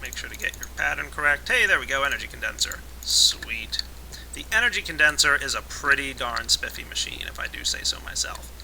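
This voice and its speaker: A man with a raspy voice today, casually explaining a modded game as its let's play host, with relaxed fillers. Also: medium pitch, fairly quick, a little hiss or hum, rough recording. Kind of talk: casual gameplay tutorial narration.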